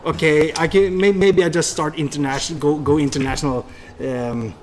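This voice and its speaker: A man with a Norwegian accent telling stories conversationally as an interview guest, often halting and restarting his words. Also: on the high side, fairly even intonation. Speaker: public speaker and seminar leader, interview guest.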